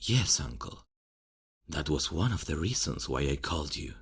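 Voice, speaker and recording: A man's voice: rumbling low, very animated, moderate pace, breathy edge, exaggerated voice. A man playing a worried king seeking counsel in a fantasy audio roleplay, speaking earnestly and formally. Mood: troubled, earnest.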